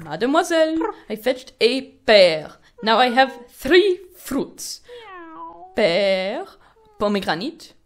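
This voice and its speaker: A fussy monkey painter in a children's puppet show. The voice sits high and swings widely in theatrical, exaggerated phrases. There is clear noise behind it. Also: female voice, sing-song, slow. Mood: musing, courteous. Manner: exaggerated, theatrical, talking fondly to a pet.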